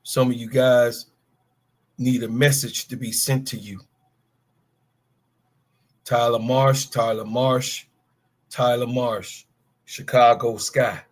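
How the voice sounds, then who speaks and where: A man's voice: medium pitch, flat delivery, slow, long pauses. A man in his fifties, co-hosting a sports talk show, ranting with emphatic, profane call-outs. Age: 50s. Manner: profane, emphatic, calls out players by name. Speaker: podcast co-host. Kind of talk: heated sports talk rant.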